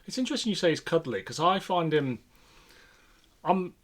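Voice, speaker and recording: A man's voice: a little high, sing-song, normal speed. A British man co-hosting a podcast in a casual, conversational chat style. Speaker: podcast co-host. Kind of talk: casual chat about classic television sci-fi.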